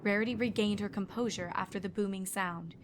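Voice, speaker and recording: A woman's voice: mid-range voice, steady tone, fairly quick, slight background noise. A woman reading scripted story narration. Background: music.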